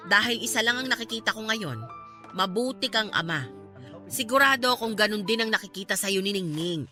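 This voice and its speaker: A woman's voice: medium pitch, lively intonation, a little fast, bright, forceful tone, soft background music. A woman with a Filipino accent acting in a radio drama as a caring, outspoken friend and mother, emphatic and coaxing. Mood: earnest persuasion.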